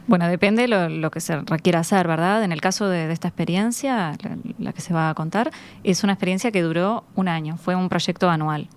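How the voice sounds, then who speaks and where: A woman with a Uruguayan accent speaks as a teacher being interviewed in a studio. Her voice is a little low, and she explains in a conversational, halting way, searching for words. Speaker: schoolteacher, interview guest. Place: radio studio. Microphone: studio microphone.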